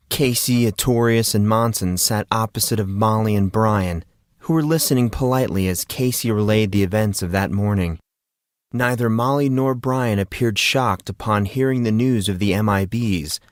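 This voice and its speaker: A man's voice: fairly low pitch, no echo, studio quality. A man narrating scripted fiction as an audiobook reader.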